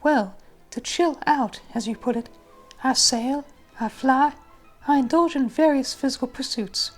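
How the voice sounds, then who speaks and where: A woman plays a self-assured, enigmatic rich businessman in an audiobook. The delivery is formal and suggestive with an arrogant edge, pitched fairly high for a woman, with music underneath. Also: measured pace. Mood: smug, suggestive. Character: arrogant, enigmatic wealthy businessman being interviewed.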